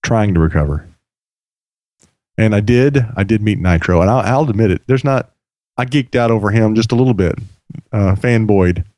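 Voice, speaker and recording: A man hosting a podcast in casual chat, his voice a little hoarse from yelling, talking in a chatty, rambling way with frequent restarts. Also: lowish voice, normal speed, long pauses, dry recording, studio quality. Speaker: podcast host.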